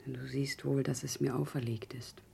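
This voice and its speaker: A woman's voice: a bass voice, flat delivery, normal speed, gravelly, warm tone, a little hiss or hum, full character voice. An actress playing a weary, fervent woman of the people on a crusade in a German radio play, solemn and impassioned. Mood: weary, resolute.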